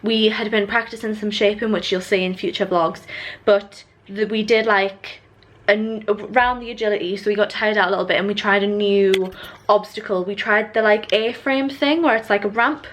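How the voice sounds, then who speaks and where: A woman's voice: medium pitch, steady tone, moderate pace. A young British woman chatting casually to her vlog viewers in an informal, rambling way. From United Kingdom. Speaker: vlogger.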